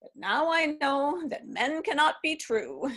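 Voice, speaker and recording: A woman's voice: high-pitched, big pitch swings, fairly bright tone, heavily acted. A woman reading Mrs. Blanchard, wistful and heartbroken. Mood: grieving. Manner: halting, wistful. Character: heartbroken aging woman mourning lost love. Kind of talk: readers theatre play reading.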